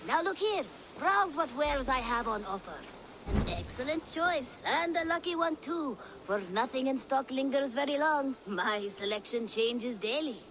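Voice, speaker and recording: A high, lively female voice as a shrewd game merchant with secret connections. The delivery is formal and gracious, always selling, over clear background ambience. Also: noisy background. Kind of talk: video game cutscene acting.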